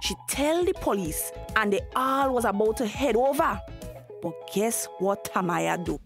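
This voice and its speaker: An actress with a Tobagonian accent playing a wry, gossipy storyteller recapping a radio drama. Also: female voice, medium pitch, animated, unhurried, creaky. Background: music.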